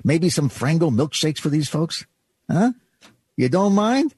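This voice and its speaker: Kindly, husky store doorman. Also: a little high, big pitch swings, measured pace, a little mellow, no echo, exaggerated voice. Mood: eager. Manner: folksy, hesitant, reassuring. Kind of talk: old-time radio drama acting.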